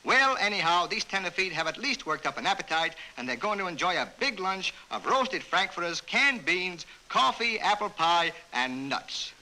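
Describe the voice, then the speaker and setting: A man's voice: high voice, big pitch swings, normal speed, hardly any pauses, pushed and bright, poor audio. An American man narrating a 1930s newsreel from a script in a jaunty, wisecracking manner, on a vintage soundtrack.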